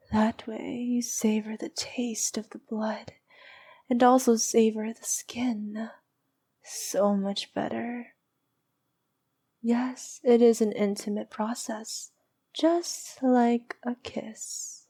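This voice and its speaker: Vampire princess, played by a woman: regal, teasing, needy; higher pitch, unhurried intimate ASMR delivery with whispery edges. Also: mellow. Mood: seductive. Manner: slow, teasing, regal, often dropping to breathy whispers. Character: noble but needy flirtatious vampire princess.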